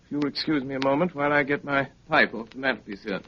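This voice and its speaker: An actor playing an unflappable gentleman detective with a dry, politely ironic manner, in 1930s radio drama style. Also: mid-range voice, fast, lightly acted. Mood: calm, wry. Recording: muffled, hissy 1930s radio recording.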